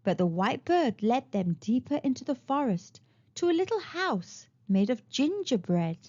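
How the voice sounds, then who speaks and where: A woman reads a children's tale in a high voice with very animated intonation. Her delivery is expressive storytelling, and the recording sounds a little dull. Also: unhurried. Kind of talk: expressive read-aloud storytelling.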